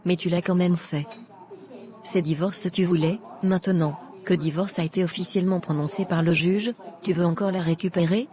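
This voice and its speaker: Woman acting a blunt, low-voiced judgmental churchwoman. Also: fairly even intonation, normal speed, dark tone, close to an everyday voice. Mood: indignant scolding. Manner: outspoken, scolding.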